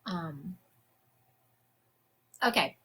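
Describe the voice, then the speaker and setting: Female psychic medium, bright forceful tone, conversational and chatty. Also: on the low side, animated, extremely slow, husky. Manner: chatty and conversational, often laughing. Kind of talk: live-streamed reading for viewers.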